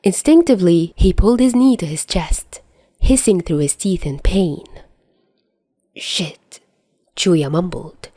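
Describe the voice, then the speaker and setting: A woman's voice: lowish voice, animated, moderate pace, dark tone. A woman reading scripted fiction as a narrator. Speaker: podfic narrator.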